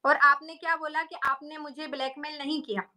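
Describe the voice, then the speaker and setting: A woman's voice: sits high, flat delivery, brisk, bright, forceful tone. A woman in a heated argument, sounding aggrieved and insistently repeating her questions.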